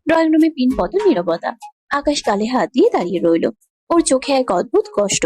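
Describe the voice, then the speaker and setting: A woman's voice: high voice, big pitch swings, fast, darkish tone. A woman reading scripted narration for a romantic story.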